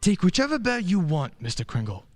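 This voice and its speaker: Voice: mid-range voice, wildly swooping pitch, fairly quick, music in the background. An actor playing a friendly young male lawyer in a radio play, breezy and wry. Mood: affable. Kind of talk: stage-style radio play acting.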